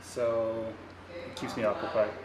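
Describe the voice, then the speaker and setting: A man's voice: fairly low pitch, completely flat delivery, a little slow, background noise. A young man from Bhutan, a student, talking casually and hesitantly with many fillers. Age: young adult. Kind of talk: casual chat. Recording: echoey room, far from the mic.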